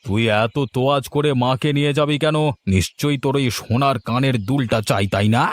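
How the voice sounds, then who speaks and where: A man's voice: mid-range voice, fairly quick, no echo, natural voice. Man playing scheming, ingratiating grown village son. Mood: suspicious, accusing. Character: greedy elder son, grown man flattering his mother. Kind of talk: cartoon story dubbing.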